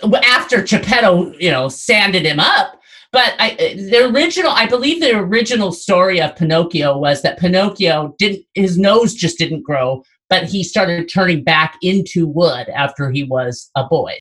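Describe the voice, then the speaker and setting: A woman's voice: on the low side, animated, normal speed, fairly bright tone. A woman hosting a casual podcast quiz, explaining things in a conversational way. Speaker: podcast host quizzing a guest.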